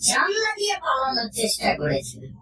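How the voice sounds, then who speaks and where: A coarse, grumbling ghost of a bus conductor, played by a woman, shouting and complaining in a high voice that swings wildly up and down. The voice sounds roomy and set back from the mic, with music playing underneath, like a horror audio drama. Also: huge pitch swings, a little fast. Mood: ranting. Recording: echoey, distant, under music.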